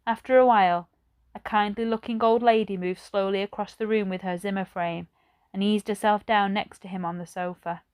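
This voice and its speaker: A woman reading a children's story aloud like a teacher, with measured, expressive phrasing. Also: mid-range voice, clear, resonant tone.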